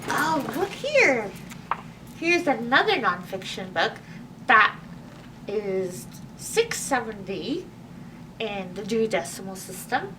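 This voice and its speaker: A woman presenting a storytime for young children, reading aloud and chatting to them in an animated, exclamatory way. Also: medium pitch, slow pace. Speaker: library storytime host. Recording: distant microphone with faint background noise.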